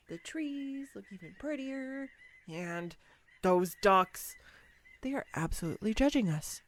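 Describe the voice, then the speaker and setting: Woman acting out clumsy young girlfriend, bubbly, self-mocking, very animated pitch, unhurried pace, intimate roleplay audio. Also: dry recording, heavily acted. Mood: delighted, playful. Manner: bubbly, hesitant, playfully teasing, expressive. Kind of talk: scripted girlfriend roleplay audio, intimate one-sided dialogue.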